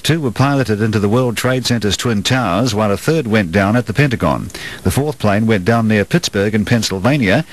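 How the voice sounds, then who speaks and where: A man with a New Zealand accent reads a scripted radio news bulletin as the newsreader. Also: medium pitch.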